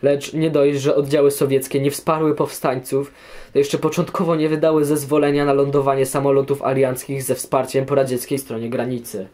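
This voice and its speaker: A man's voice: medium pitch, little pitch movement, fairly quick, a little mellow. A man answering history questions in scripted documentary narration.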